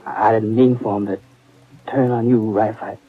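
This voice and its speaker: Man playing a grieving cowboy brother, low voice, quiet, pleading, muffled old radio. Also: fairly even intonation, moderate pace, lightly acted. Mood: grieving, tender. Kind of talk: 1940s radio acting.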